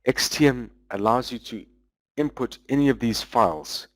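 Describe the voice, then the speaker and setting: A man giving a webinar presentation, walking through software features in a loose, explanatory way with frequent pauses. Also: mid-range voice, no echo. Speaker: business development manager presenting a webinar.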